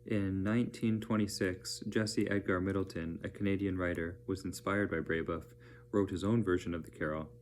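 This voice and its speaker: A man reading educational narration aloud as a teacher, in a measured, plain-spoken manner. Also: deep voice, steady tone, a little hiss or hum.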